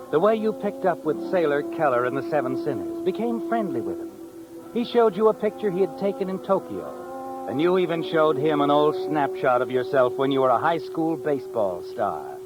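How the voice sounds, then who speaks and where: Slightly high male voice, widely inflected, ominous radio storyteller, sardonic, suspenseful, vintage recording, music underneath. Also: poor audio. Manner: sardonic, suspenseful, deliberate phrasing. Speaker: narrator of a mystery show. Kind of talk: 1950s radio mystery narration.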